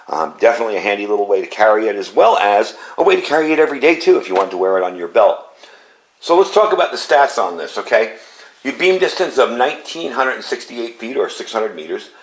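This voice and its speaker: Low gravelly man with a scratchy cold. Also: lively intonation, fast talker, reverberant. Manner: chatty, often adds "okay?". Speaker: product reviewer. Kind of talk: casual product review. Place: home garage and yard.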